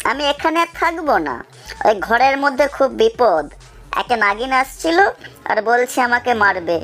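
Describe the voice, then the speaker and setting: Woman acting a young servant, timid, high-pitched, pleading, deferential, cartoon dialogue, music underneath. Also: animated, moderate pace, a bit bright. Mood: anxious, pleading. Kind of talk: children's cartoon story dialogue.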